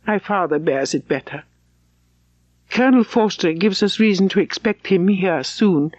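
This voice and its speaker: A woman reading as an anxious, gentle young gentlewoman, muffled. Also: mid-range voice, animated, moderate pace, no echo, lightly acted. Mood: worried, apologetic. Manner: earnest, sorrowful, refined.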